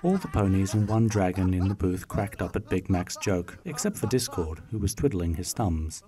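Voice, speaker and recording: A man's voice: low-pitched, normal speed, warm tone. A man reading scripted storybook narration for a radio play.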